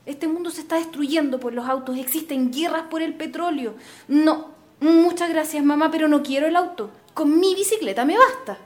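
An actress playing an earnest, idealistic young Chilean daughter of a landowner in a radio drama. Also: female voice, high voice, moderate pace, close to an everyday voice. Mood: firm, indignant.

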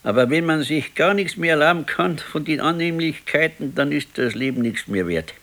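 A man's voice: on the high side, animated, normal speed, a bit bright. An actor playing a gloomy, ailing pensioner in his sixties in a radio play, talking plainly and colloquially with a Bavarian accent. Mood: querulous, wistful. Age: 60s. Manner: plain, colloquial, brooding complaint. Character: gloomy ailing old pensioner husband, fearful and brooding. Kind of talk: naturalistic radio play dialogue.

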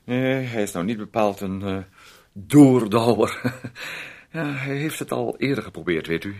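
An actor playing a fussy, controlling brother in a radio play, dryly condescending and halting, with little interjections. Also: male voice, medium pitch, a little mellow. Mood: sardonic, condescending. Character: fussy, sardonic, controlling brother of a grown man.

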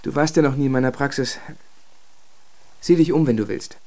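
Male actor as young physician, mid-pitched, controlled, reasonable, faintly reproachful, radio drama. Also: normal speed, warm tone, natural voice. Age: young adult. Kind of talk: German radio drama acting.

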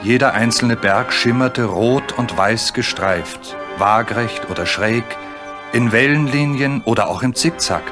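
A man's voice: medium pitch, a little slow. A man narrating a scripted children's story as its storyteller. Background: music.

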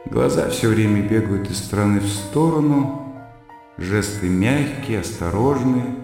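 Detached dreamy scholar guy, gravelly, thinking out loud, huge echo, music behind. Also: mid-range voice. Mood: detached, observing. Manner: reflective, musing. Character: detached, weary scholarly brother, reserved and dreamy. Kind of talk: radio play inner monologue. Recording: very echoey hall, music behind.

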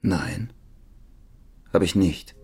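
A hardened ex-convict in a radio play, guarded and brooding. His low voice is very husky with some gravel, and he keeps his words terse and controlled. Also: extremely slow, mellow, heavily acted. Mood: defensive. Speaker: radio drama actor. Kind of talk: radio play dialogue and inner monologue.

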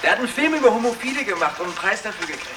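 Actress as a boastful young delinquent, slightly off-mic. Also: female voice, medium pitch, big pitch swings, very fast, distant mic, music in the background, natural voice. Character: boastful, streetwise young ex-convict dreaming of being dangerous. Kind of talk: radio drama dialogue.

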